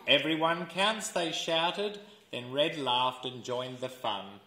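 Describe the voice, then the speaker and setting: A man reading a children's storybook aloud as an educator, expressive and deliberate, pausing for listeners to fill in words. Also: mid-range voice, crowd noise. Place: indoors, seated in a room with wooden floor. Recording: roomy indoor camera sound.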